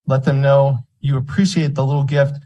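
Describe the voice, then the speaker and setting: A man's voice: mid-range voice, little pitch movement, moderate pace, mellow, muffled recording. A man speaking as a member of the public at a meeting, argumentative and indignant, making his case in a public comment. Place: school board meeting room.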